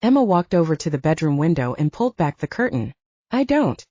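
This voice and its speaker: A woman reads fiction as an audiobook narrator, her voice mellow and a little low. Her intonation swings expressively through the story. The sound is dry and slightly dull. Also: big pitch swings, fast talker, no echo.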